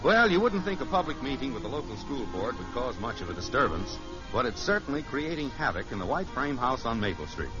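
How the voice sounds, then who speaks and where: A man's voice: mid-range voice, very animated, fairly quick, over music. An American man giving wry, scripted narration as announcer of a 1950s radio show. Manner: wry, storytelling. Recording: muffled old radio recording.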